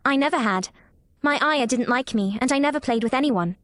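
A woman's voice: sits high, fairly quick, fairly bright tone, no room sound, clean studio sound, pitched above the performer's own voice. A woman voicing a lonely, imperious little girl who speaks with direct, eager curiosity in a storybook reading. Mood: forlorn, frank.

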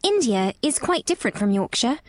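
A woman's voice: fairly high pitch, expressive, a little fast, no echo, clean studio sound, voice pushed up, close to an everyday voice. A woman voicing a reserved, curious and somewhat imperious little girl with blunt, proper speech in a storybook reading.

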